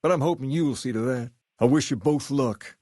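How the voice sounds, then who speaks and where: A man's voice: mid-range voice, moderate pace, a little mellow, no echo, clean studio sound, close to an everyday voice. Man playing a suave gang boss, folksy swagger. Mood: fond, wry. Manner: folksy, cool, drawling Elvis-like swagger. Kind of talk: video game dialogue acting.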